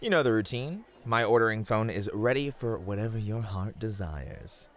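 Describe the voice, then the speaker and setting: A man's voice: on the low side, expressive, moderate pace, natural voice. A man playing a flirty, doting bunny host in an ASMR roleplay, speaking affectionately and attentively to a guest. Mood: friendly, attentive. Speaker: voice actor in an audio roleplay.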